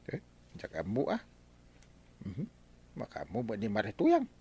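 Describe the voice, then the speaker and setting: A man's voice: lowish voice, huge pitch swings, a little slow, dark tone, husky, full character voice. A man with an Ilocano accent playing a fretful village captain who worries over money, in an Ilocano radio comedy drama. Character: Kapitan Enteng, village captain, fussy.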